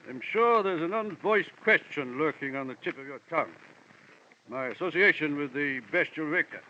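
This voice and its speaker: An actor playing a florid, jovial and pompous gentleman investigator from London with a villain's air, in 1940s radio style. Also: a little high, lively intonation, normal speed. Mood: amused, self-satisfied. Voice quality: voice like a portly classic-film villain. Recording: muffled, hissy old radio recording.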